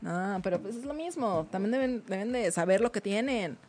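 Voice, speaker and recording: A woman with a Mexican accent co-hosting a casual live talk show, bantering and arguing with lots of quick protesting interjections. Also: mid-range voice. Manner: animated, argumentative, protesting with repeated interjections.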